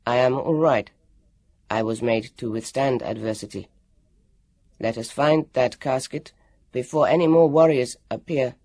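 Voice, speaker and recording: A woman's voice: a bass voice, a little slow, mellow, dry recording, exaggerated voice. A woman voicing a stoic, injured male android in an audiobook, formal and precise, with a strained voice. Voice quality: forced, strained, scratchy. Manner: stiff, precise, formal wording. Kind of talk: audiobook character voice.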